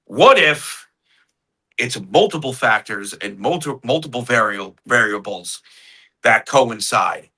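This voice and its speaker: An excitable man co-hosting a live show, wandering through ideas out loud. He has a bright, forceful voice at a middle pitch. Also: a little slow, breathy edge. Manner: enthusiastic, rambling, says "dude" a lot. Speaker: podcast co-host. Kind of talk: casual live-stream chat.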